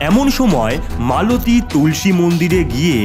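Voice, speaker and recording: A man's voice: high-pitched, sing-song, moderate pace, music in the background. A man narrating a scripted story in a dramatic storytelling style.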